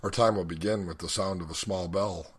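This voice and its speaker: A man leading a guided meditation as an instructor, speaking in a measured, instructional way with deliberate pauses. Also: deep voice, steady tone, breathy edge.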